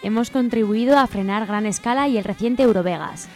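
A woman's voice: on the high side, fairly quick, darkish tone. A woman with an accent from Spain reading scripted narration as co-host of a radio show. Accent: Spain Spanish. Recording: music bed behind the voice. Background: music.

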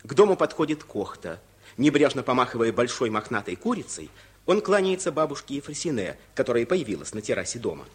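A man's voice: medium pitch, sing-song, brisk, husky. A man narrating a radio play from a script, setting the scenes.

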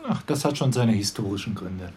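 A man's voice: lowish voice, a little fast, a little mellow, lightly acted. A man playing a pedantic, insistent police investigator in a German radio crime drama.

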